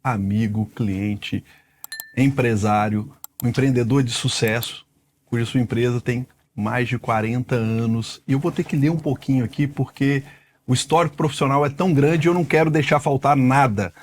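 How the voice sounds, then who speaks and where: A man in his forties hosts a podcast and leads the interview with eager, emphatic phrasing. His voice sits in a middling pitch for a man. He frames his questions warmly and keeps the exchange moving. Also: normal speed, a little hiss or hum. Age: 40s. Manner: enthusiastic, emphatic introductions. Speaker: podcast host.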